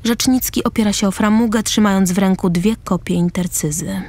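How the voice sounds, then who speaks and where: A woman's voice: medium pitch, brisk, mellow, dry recording. A woman reading scripted present-tense narration for a radio play. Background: music.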